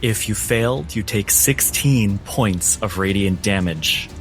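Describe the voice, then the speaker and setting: A man's voice: lowish voice, slow. A man narrating a tabletop role-playing game as game master, in a dramatic, descriptive way. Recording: background music under the voice.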